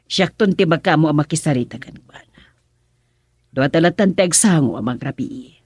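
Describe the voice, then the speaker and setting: A woman with an Ilocano accent playing a worried middle-aged mother of grown children in a radio drama, with a husky voice. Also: low-pitched, expressive, normal speed, darkish tone, no echo, clean studio sound.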